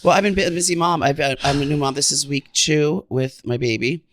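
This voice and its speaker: A man's voice: fairly high pitch, fairly quick, no room sound, studio quality. A man co-hosting a podcast in casual chat, stuffy with a cold and camp and playful. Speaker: podcast co-host.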